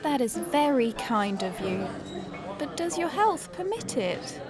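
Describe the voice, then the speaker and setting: A woman playing a reluctant young lady, deadpan and politely sarcastic, in a farcical audio drama. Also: sits high, takes it slowly, loud music behind the voice, heavily acted. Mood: dry politeness. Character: reluctant, drily sardonic young lady avoiding suitor.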